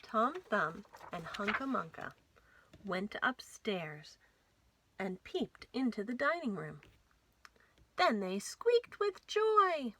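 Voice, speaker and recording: A woman reading a children's story aloud as a storytime host, with dramatic, expressive delivery. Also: mid-range voice, poor audio. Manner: dramatic, animated storytelling with whispers and emphasis. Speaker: library storytime reader.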